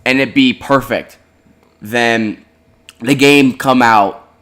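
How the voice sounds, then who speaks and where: Male panelist, bright voice, opinionated and teasing, casual chat. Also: mid-range voice, steady tone, slow pace.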